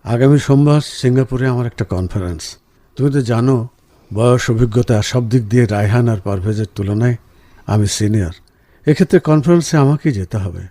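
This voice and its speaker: A man playing a caring, reassuring husband in a radio drama, speaking in an earnest, measured way. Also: mid-range voice, mellow, no room sound, natural voice. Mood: worried. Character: caring, reassuring husband, senior professional, firm but gentle. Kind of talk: Bengali radio drama acting.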